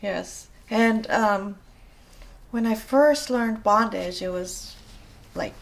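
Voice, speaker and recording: Slow, hesitant Japanese-accented woman. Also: medium pitch, creaky. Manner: hesitant, many fillers and restarts. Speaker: interview guest, rope bondage practitioner. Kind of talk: casual interview chat.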